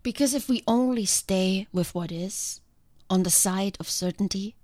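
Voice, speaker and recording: A woman speaks close to a podcast microphone in a calm, earnest and reflective way, hosting her own solo show. Her voice sounds congested from a sinus infection, and the recording is dry, with no room sound. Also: medium pitch, unhurried, dry recording. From outside the country she now lives in. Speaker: podcast host.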